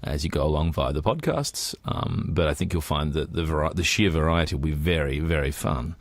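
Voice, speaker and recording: Fast-talking man with a low voice. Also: dry recording. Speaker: podcast co-host. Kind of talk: casual creative discussion.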